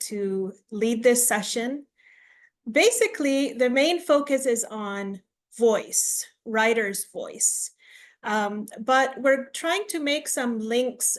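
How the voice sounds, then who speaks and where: Woman, workshop facilitator, higher voice, friendly, explaining, fillers, video call. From United States, raised in Tanzania. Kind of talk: online workshop presentation.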